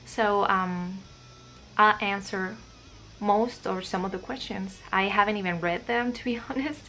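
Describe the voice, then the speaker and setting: A woman's voice: medium pitch, steady tone, measured pace, pushed and bright, low-quality recording. A young woman from Spain with a Spanish accent, chatting shyly and hesitantly to camera, with many fillers. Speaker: vlogger. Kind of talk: casual chat to camera.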